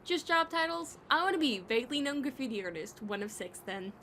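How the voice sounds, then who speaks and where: Lady doing sarcastic teasing punk in an audio drama, high bright voice, bit of noisy ambience. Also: animated, fairly quick, full character voice. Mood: mocking. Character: Fungi, playful sarcastic teasing faceless graffiti artist.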